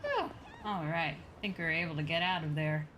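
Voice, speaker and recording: A woman's voice: low voice, big pitch swings, fairly quick, a bit bright, slight background noise, rough recording. A woman streaming a game, chatting casually and playfully and muttering to herself.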